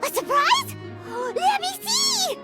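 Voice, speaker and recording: A woman voicing a tiny, childlike, excitable fairy companion in a video game, squeaky and wide-eyed. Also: slow, full character voice. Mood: amazed. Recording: loud music behind the voice.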